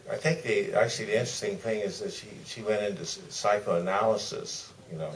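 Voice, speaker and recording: An older man answering off the cuff as a film director on a panel, in a hesitant, meandering way with pauses. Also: fairly low pitch. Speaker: documentary director guest on a Q&A panel. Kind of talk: spontaneous panel discussion. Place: theater stage at a live Q&A. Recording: distant mic, faint room noise.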